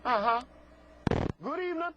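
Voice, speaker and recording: A high-voiced man hosts a live call-in show with swooping, theatrical delivery. He is sassy and scolding, talking in folksy colloquial phrases he likes to repeat. The recording is muffled and noisy. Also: expressive, moderate pace. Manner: folksy colloquial speech with double negatives. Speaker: host of a live phone-in psychic show. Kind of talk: live call-in TV talk with prank callers. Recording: muffled lo-fi broadcast with clear noise, clicks.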